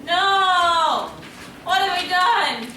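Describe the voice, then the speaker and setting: A woman's voice: very high-pitched, lively intonation, extremely slow, sharp tone, full character voice. A woman voicing a grumpy, scornful male badger in a storybook readers' theater. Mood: alarmed, shouting. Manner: scornful, grumbling, exclaiming. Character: grumpy male badger, sleepy and sensible loner. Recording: echoey room, distant mic, noisy.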